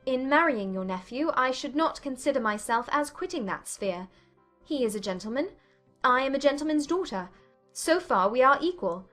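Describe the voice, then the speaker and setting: Young gentlewoman played by a woman, high voice, poised and defiant, articulate period audiobook delivery over soft music. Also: animated, normal speed. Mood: firm, defiant. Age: young adult. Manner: composed, articulate, firm. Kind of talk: dramatized audiobook acting.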